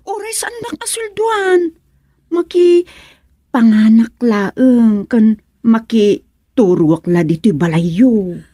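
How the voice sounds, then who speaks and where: Woman acting a high-voiced, pleading village housemaid hopeful. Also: animated, takes it slowly, warm tone, dry recording, clean studio sound. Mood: plaintive. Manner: chatty, wheedling, insistent. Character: pleading village woman named Osang seeking housemaid work. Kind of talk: Ilocano radio comedy-drama acting.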